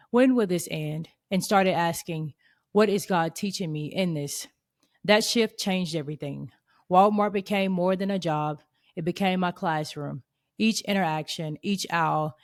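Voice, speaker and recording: Earnest low-voiced woman in her thirties. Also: a little mellow. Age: 30s. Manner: earnest and reflective. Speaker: podcast host. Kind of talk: faith podcast monologue.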